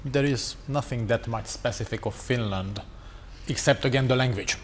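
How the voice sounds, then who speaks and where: A man whose first language is Hungarian speaks English on a panel in a steady, analytical way. He lays out his arguments step by step. His voice rings through a large hall with long reverb and a faint background hum. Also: mid-range voice. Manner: measured, analytical, non-native English. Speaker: conference panelist. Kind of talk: panel discussion. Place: conference hall.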